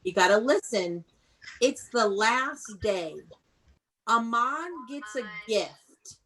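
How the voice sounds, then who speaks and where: A woman's voice: on the high side, lively intonation, slow pace, a bit bright, rough recording. A woman teaching young children in an online read-aloud class, prompting them with questions and encouragement in an instructive way.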